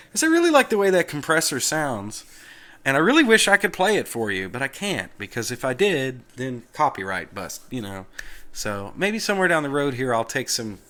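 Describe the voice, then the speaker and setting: A man's voice: medium pitch, sharp tone. A man hosting a casual tutorial, explaining things off the cuff in an informal, plain-spoken, folksy way. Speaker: host of a Linux tutorial video.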